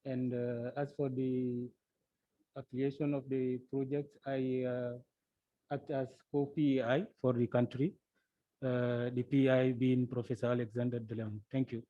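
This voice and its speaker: A Guinean man introduces himself at a meeting with halting, even-toned phrasing. The sound is thin and phone-like, with no room echo. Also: medium pitch. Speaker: academic researcher.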